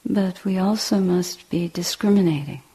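A woman guides a meditation in a hushed, lowish voice with a gravelly creak. She speaks in short, deliberate phrases with long pauses between them. The tone is soft and mellow, often breathy and almost whispered. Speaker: meditation teacher.

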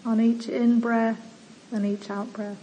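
A woman meditation teacher leading a guided meditation in a gentle, soothing manner, with long pauses between slow phrases. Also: a little high, flat delivery, rough recording. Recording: clear background noise.

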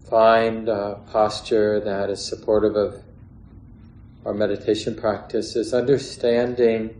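Slow, even-toned man guiding meditation. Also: lowish voice. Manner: calm, measured, long pauses between phrases. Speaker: meditation teacher. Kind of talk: guided meditation, unscripted instruction. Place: meditation hall or large room. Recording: roomy echo, faint background noise.

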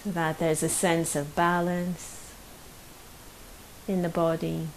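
A woman leading a guided meditation as a teacher, speaking gently and unhurriedly with long pauses. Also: fairly low pitch, little pitch movement, rough recording. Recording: faint hiss behind the voice.

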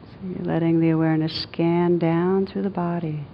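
A woman with a fairly low voice leads a guided meditation, speaking gently and soothingly. She leaves long, unhurried pauses between phrases. The recording is somewhat dull, with a faint rumbling noise behind her. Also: level tone. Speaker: meditation teacher.

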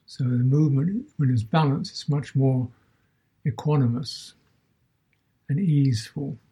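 A man teaching a guided meditation as a Buddhist teacher, speaking in short measured phrases with long pauses between them. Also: mid-range voice, dark tone, breathy edge, poor audio.

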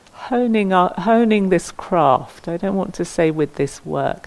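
A woman leading a guided meditation as a teacher, speaking gently and leaving long pauses between phrases. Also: low voice.

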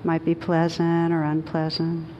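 A woman teaching meditation, guiding a group in a gentle, unhurried manner with long pauses. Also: completely flat delivery, dull audio. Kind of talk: guided meditation led for a group. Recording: clearly noisy with low rumble.

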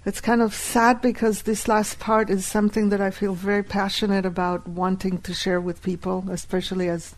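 A woman whose first language is Hebrew, teaching a live workshop and deliberately repeating key phrases. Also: mid-range voice, level tone, rough recording.

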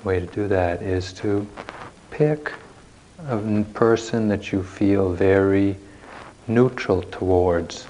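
A man leading a guided meditation as a teacher, speaking in measured short phrases with long pauses, recorded live at a retreat. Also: on the low side, mellow, slight background noise, low-quality recording.

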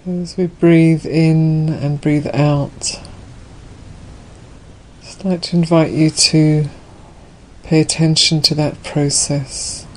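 A woman leading a guided meditation as a Buddhist teacher, unhurried, leaving gentle pauses between her phrases. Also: low-pitched, level tone, slight background noise.

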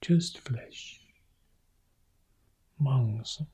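A male monk guiding a meditation in soft, short phrases that often sink into a whisper. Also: medium pitch, very slow, slightly rough. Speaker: Buddhist monk leading meditation. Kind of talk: guided meditation.

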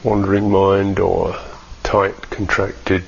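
A man teaching a guided meditation in a measured, halting way with long pauses, on a dull recording. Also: low voice, little pitch movement, vocal fry, slight background noise.

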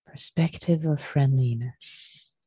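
A woman teaching a guided meditation in a gentle, unhurried manner, leaving long pauses between short phrases. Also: extremely low pitch, slightly rough. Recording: muffled, roomy sound.

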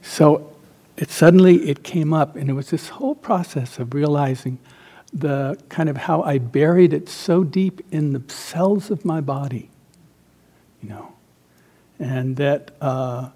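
An older man who grew up in Central America, a meditation teacher giving an informal dharma talk, telling personal stories in a halting, reflective way that trails off softly. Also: on the high side.

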